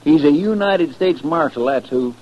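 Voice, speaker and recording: A man's voice: fairly high pitch, expressive, normal speed, a little mellow, lightly acted. A muffled, faintly noisy old radio recording of an actor playing a western lawman's male sidekick. He is loyal, plain-spoken and folksy, pressing his words with emphasis. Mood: firm, scornful. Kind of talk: 1950s western radio drama acting.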